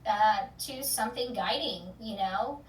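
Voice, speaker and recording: A woman's voice: a little high, a little slow, reverberant, far from the mic, slight background noise, poor audio. A woman hosting an online true-crime channel, rambling casually with many fillers and restarts before an upbeat sign-off. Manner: loud, upbeat sign-off catchphrases. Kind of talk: casual chat, sign-off to viewers.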